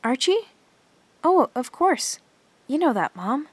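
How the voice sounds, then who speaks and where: A woman's voice: sits high, very animated, slow, no room sound, heavily acted. A woman playing an earnest, observant teenage girl who narrates her diary in an audio drama. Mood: concerned.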